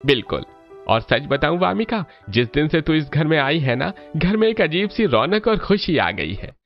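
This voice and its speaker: A man voicing an emotional middle-aged family patriarch in a cartoon, expressive and emphatic. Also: a little high, normal speed, highs cut off, soft background music. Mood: delighted. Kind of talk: Hindi family cartoon dubbing.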